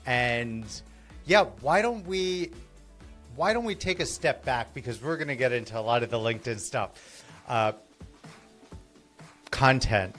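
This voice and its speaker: A man's voice: mid-range voice, lively intonation, measured pace, pauses often, fairly bright tone, soft background music. A man hosting a podcast, asking earnest, loosely phrased questions in a casual interview. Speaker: podcast host.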